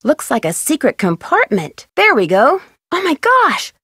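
A woman's voice: high voice, wildly swooping pitch, measured pace, sharp tone, no echo, studio quality, exaggerated voice. A young woman with an American accent playing a plucky amateur detective in a video game, chipper and wholesome as she thinks aloud. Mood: delighted.

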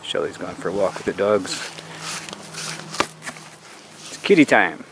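A man's voice: deep voice, expressive, a little fast, creaky, noisy background. A middle-aged man chatting casually in his backyard while doing chores, rambling in an easygoing way. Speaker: vlogger. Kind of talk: casual chat. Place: backyard outdoors.

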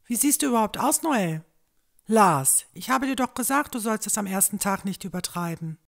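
A village mother acted by a woman in a fantasy radio play. She is caring yet quick to scold, with an expressive, reproachful manner and an average pitch. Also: creaky. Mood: scolding, indignant.

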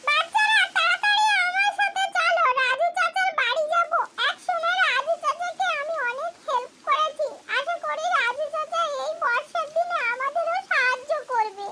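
Squeaky, pleading cartoon mother bird. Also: moderate pace, few pauses, pushed and bright, a little hiss or hum. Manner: pleading, plaintive. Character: anxious mother bird, tender with her chicks, helpless in a storm. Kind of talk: cartoon voice acting.

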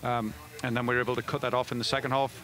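A man's voice: medium pitch, level tone, a bit bright. A middle-aged man, a soccer head coach, answering post-game questions on a headset mic and often restarting his sentences. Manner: fast, with frequent restarts and fillers. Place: indoor media room before a backdrop.

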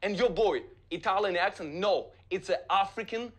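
A man's voice: sits high, moderate pace. A man working as a journalist, speaking heatedly and emphatically with non-native phrasing. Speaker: investigative journalist.

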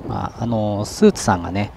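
A man's voice: on the low side, fairly quick, vocal fry. A middle-aged man from Japan, chatting casually with lots of fillers while vlogging outdoors by a river on a camera mic. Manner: relaxed, chatty, many fillers and tag particles. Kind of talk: casual outdoor vlog narration. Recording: outdoor wind and ambient noise.

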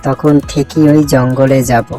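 Woman as determined little boy, very low for a female voice, flat delivery, cartoon dub. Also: measured pace, faint music behind. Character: stubborn, determined young village boy. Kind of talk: cartoon story dubbing.

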